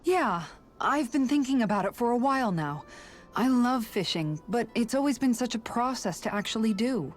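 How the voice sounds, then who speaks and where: An actress playing a gentle, caring young woman in game dialogue, speaking softly and thoughtfully over faint music. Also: a little high, expressive, moderate pace, close to an everyday voice. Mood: wistful.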